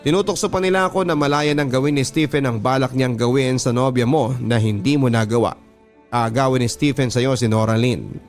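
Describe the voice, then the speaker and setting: A man's voice: medium pitch, fairly even intonation, brisk. Filipino male storyteller over music. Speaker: radio host reading listener letters. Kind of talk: dramatic first-person storytelling narration. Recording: music under the voice. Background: background music.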